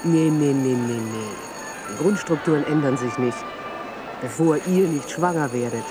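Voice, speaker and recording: A woman's voice: very deep voice, measured pace, over music. An actress playing an assertive, worldly-wise woman who gives wry, insistent opinions in a radio play. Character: assertive, worldly-wise woman theorising about men and women. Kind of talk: German radio play dialogue.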